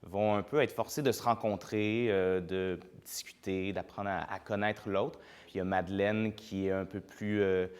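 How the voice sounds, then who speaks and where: A man's voice: sits low, steady tone, moderate pace. A man speaking as a playwright in an interview, reflective and hesitant, with many fillers.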